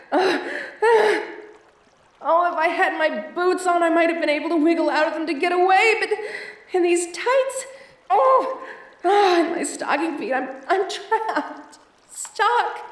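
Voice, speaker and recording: Woman acting a high-voiced theatrical femme fatale adventuress. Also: expressive, slow, pauses often, roomy sound, recorded from a distance, full character voice. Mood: panicked, pleading. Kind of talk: audio roleplay acting, addressed to the listener.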